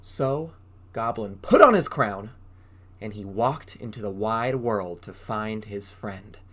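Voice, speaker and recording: A man reading a storybook aloud as a preschool drama teacher, in an exaggerated, animated way, prompting young listeners with questions. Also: lowish voice, slow. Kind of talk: interactive children's read-aloud.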